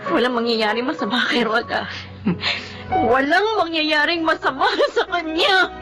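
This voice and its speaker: A woman's voice: high-pitched, measured pace, loud music behind the voice. An actress playing a devoted, stubborn young woman in a Filipino melodrama, with a Filipino accent. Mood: anxious, reassuring. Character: devoted young woman, loyal best friend, emotional and stubborn.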